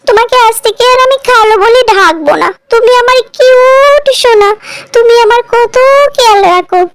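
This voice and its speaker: A performer voicing a greedy, flattering young woman in a cartoon, coy and wheedling, a two-timing girlfriend. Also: very high-pitched, normal speed. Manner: coy, wheedling, dismissive when challenged.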